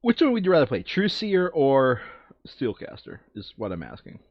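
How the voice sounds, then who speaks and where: A man's voice: mid-range voice, wildly swooping pitch, moderate pace, no echo, dull audio. A man chatting casually and thinking aloud while he plays a board game. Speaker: board game player on a playthrough video.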